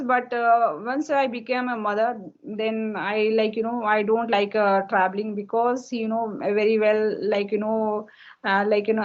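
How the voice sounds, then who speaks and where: A woman in her thirties from India, a native Hindi speaker with an Indian English accent, answering questions in a halting practice conversation full of fillers over an online call. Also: mid-range voice. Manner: halting, many fillers and restarts. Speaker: English learner, homemaker. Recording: dull lo-fi call audio.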